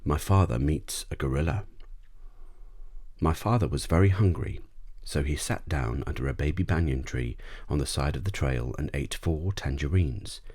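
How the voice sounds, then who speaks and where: Very deep-voiced man reading a bedtime story. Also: moderate pace, a little mellow. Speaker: audiobook narrator. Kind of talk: bedtime story narration, ASMR.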